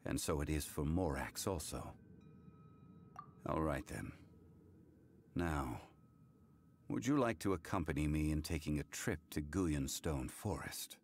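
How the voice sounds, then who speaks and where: An actor playing a calm, solemn ancient man, a wise former god in a video game, who speaks in a formal, measured, reflective way. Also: extremely low pitch, a little hiss or hum, heavily acted. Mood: calm, grave. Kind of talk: English game voice acting, story dialogue.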